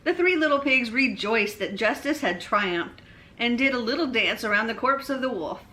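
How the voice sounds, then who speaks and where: A woman reading a bedtime story aloud as storyteller, expressively, with deliberate, emphatic phrasing. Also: on the high side, lively intonation, bright, forceful tone.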